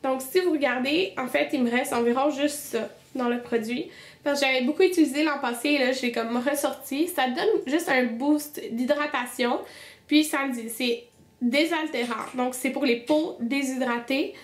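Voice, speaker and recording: Chatty Québécois woman, high and stuffy. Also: normal speed, echoey room. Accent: Québécois French. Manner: chatty, many fillers and restarts. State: stuffy with a cold. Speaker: beauty vlogger. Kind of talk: casual monthly favorites video.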